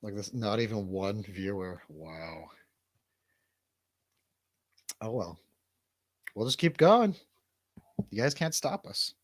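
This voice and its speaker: A man with a husky, fairly low voice chats as a livestream co-host in loose, slangy banter. His pitch moves around a lot as he rambles and jokes, and the sound is dry and close. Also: lively intonation, a little slow, no room sound.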